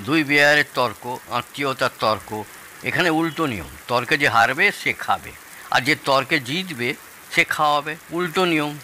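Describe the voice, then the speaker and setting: A man's voice: a little high, a little fast, sharp tone, a little hiss or hum, poor audio. An actor playing a genial, proud older father-in-law, teasing and telling stories in an audio drama. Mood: teasing, assured. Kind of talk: Bengali audio drama dialogue.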